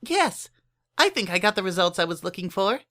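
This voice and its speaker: Man doing a high, chirpy little filly. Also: big pitch swings, fairly quick, pushed and bright, exaggerated voice. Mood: smug.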